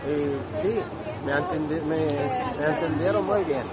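A man's voice: a little high, takes it slowly. A man in his thirties from Egypt with an Egyptian Arabic accent, chatting loosely to a handheld phone camera as he walks through an outdoor street market, speaking non-native Spanish with frequent restarts. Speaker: vlogger. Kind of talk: walking vlog to camera. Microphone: handheld phone at arm's length. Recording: loud street crowd and music behind. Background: market crowd, traffic, music.

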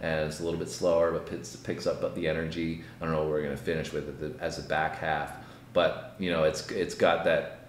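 A man's voice: extremely low pitch, flat delivery, fairly quick. A man in his thirties co-hosting a music reaction, musing thoughtfully with lots of "you know". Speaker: reaction channel co-host. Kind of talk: casual music reaction chat. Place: home room, seated in gaming chair. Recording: roomy echo, faint hum.

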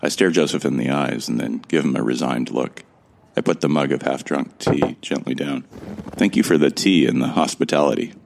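An actor playing a resigned, weary man who narrates his own doomed flight slowly and gravely in an audio drama. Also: very deep voice, a little mellow, slight background noise. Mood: grave, resolute.

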